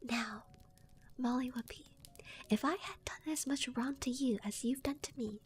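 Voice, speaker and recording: A woman's voice: sits high, a little slow, a little hiss or hum. A woman voicing an angry, vengeful male giant in a whispered storybook telling. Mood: menacing. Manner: whispered menace.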